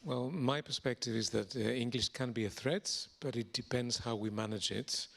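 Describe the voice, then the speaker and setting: A man speaking as a panelist in a panel discussion, in a measured and deliberate way. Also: mid-range voice, vocal fry, fairly bright tone.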